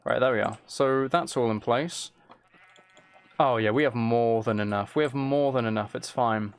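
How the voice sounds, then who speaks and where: Gravelly-voiced man chatting on a game stream. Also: mid-range voice, moderate pace. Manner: muttering to himself while playing, repeats phrases. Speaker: livestream host. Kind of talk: casual game stream chat, reading and answering viewer messages.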